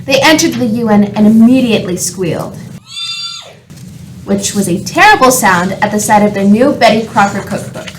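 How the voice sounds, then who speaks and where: A woman's voice: medium pitch, expressive, moderate pace, rough recording. A woman narrating a scripted comic radio play. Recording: faint background noise.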